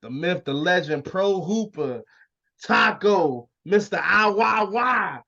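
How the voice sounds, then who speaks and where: A man hosting a casual interview in informal, slangy, sometimes profane speech over a low-quality internet call. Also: expressive, takes it slowly, bright, forceful tone, highs cut off. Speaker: interview show host. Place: remote video call.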